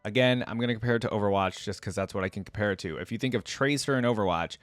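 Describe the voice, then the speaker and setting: A man's voice: lowish voice, racing, dry recording. Casual man reacting aloud, dry close sound. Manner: informal, chatty, thinking aloud. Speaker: YouTube reaction host.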